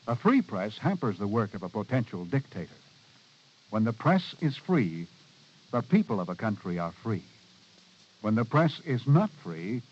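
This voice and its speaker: A man reading a solemn scripted public service message in a formal, measured, declamatory mid-century radio style. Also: medium pitch. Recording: dull vintage radio recording.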